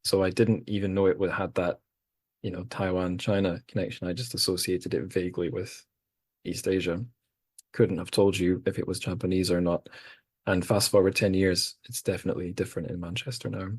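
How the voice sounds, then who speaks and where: Deep-voiced man hosting a podcast chat. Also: little pitch movement, fairly quick, breathy edge, no echo. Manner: rambling, amused storytelling. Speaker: podcast host. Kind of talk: casual chat.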